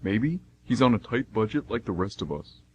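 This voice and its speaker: A man's voice: on the low side, very animated, normal speed, darkish tone, highs cut off. A man playing a joking, slightly petulant train passenger in an amateur radio play. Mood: joking, miffed. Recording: faint noise and hum.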